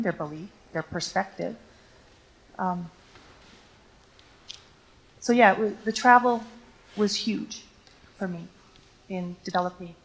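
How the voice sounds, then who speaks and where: A woman's voice: medium pitch, vocal fry, husky, low-quality recording. A woman from Canada whose first language is Ukrainian, a teacher answering audience questions on a lecture stage in a reflective, storytelling way with many fillers.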